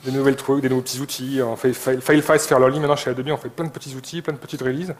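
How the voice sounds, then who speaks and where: A man's voice: mid-range voice, steady tone, racing. A man co-presenting an informal tech talk, colloquial, often repeating words as he searches for the next one. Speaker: conference speaker, tech company employee. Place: live talk before an audience.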